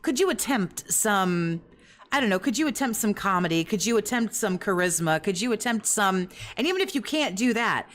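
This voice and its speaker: A woman giving reaction commentary in a fairly monotone, rambling way, critical and swearing often. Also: medium pitch, moderate pace.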